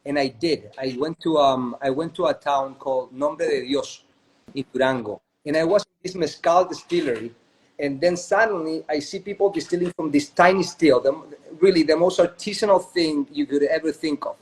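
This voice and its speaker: A man's voice: fairly high pitch, normal speed, a little hiss or hum, low-quality recording. Chatty Chilean-born man on a video call. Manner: chatty, often adds "right?". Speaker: guest, spirits company co-founder. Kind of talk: casual storytelling interview.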